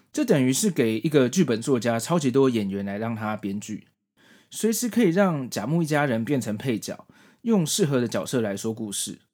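Male podcast host, forties, Taiwanese Mandarin accent. Conversational reviewer with a very varied, lively pitch. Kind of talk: solo manga review, conversational narration.

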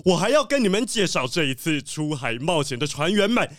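Guy doing a proud panther ship owner for a kids audio story, highish male voice, super lively and theatrical. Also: brisk, dry recording, clean studio sound. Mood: hearty, proud. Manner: theatrical, exclamatory, welcoming. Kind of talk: children's audio drama acting.

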